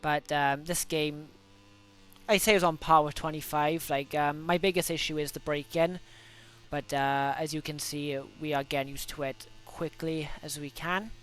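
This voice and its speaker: A young man with a Welsh accent and a fairly high, smooth, clear voice talks through his racing laps. He has a cold coming on. He chats loosely, with frequent ums and quick self-corrections. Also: steady tone, fairly bright tone. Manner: chatty, self-correcting, many fillers. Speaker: gaming video host. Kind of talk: casual gameplay commentary.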